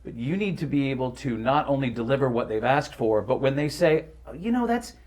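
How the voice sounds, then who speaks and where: A man with a mid-range, animated voice talks as a voice actor being interviewed. He explains his points casually and articulately, with a wry edge. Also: medium pitch, a little fast.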